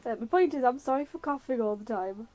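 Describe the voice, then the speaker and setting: Higher-voiced woman joking over a game. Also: expressive, fast, a little mellow, faint music behind. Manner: self-deprecating, joking. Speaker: let's play commentator. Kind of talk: casual game commentary with ad-libbed lines.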